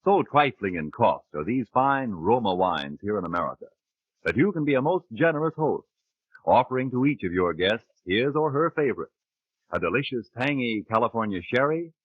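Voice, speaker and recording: A man's voice: medium pitch, very animated, moderate pace, no room sound. A man announcing a commercial in polished, emphatic 1940s radio style. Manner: polished, emphatic sales delivery. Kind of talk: 1940s radio advertising read. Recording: phone-like, dry 1940s radio recording.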